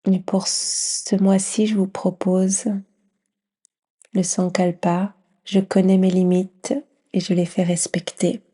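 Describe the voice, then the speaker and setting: A woman leading a guided yoga nidra meditation in a gentle, soothing near-whisper with long pauses. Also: fairly low pitch, level tone, slightly rough.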